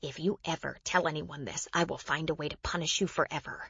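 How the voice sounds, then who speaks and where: A woman's voice: deep voice, steady tone, fast talker, a bit bright, slightly rough, no room sound, lo-fi sound, heavily acted. A woman narrator voicing an embarrassed, bitter mother in an audiobook, confessional and self-reproachful. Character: embarrassed, bitter mother confiding about a con man.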